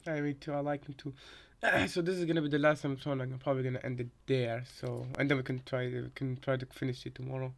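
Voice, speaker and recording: A man's voice: mid-range voice, flat delivery, quick pace, vocal fry. A man in casual chat as a co-commentator, explaining things simply and hesitantly, often restarting and repeating his phrases.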